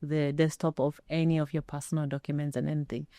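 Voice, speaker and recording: A middle-aged woman tells her story in an interview with a low, mellow voice. She speaks emphatically and pauses for effect. The sound is close and dry. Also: little pitch movement, no echo. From Kenya. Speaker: interview guest, former air traffic controller. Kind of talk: personal storytelling in a sit-down interview.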